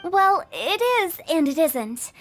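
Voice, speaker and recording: A woman's voice: extremely high pitch, animated, slow pace, faint music behind, full character voice. A woman voicing a playful, mischievous young woman who teases and lectures with flair. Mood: teasing. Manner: teasing, sing-song, lecturing with flair.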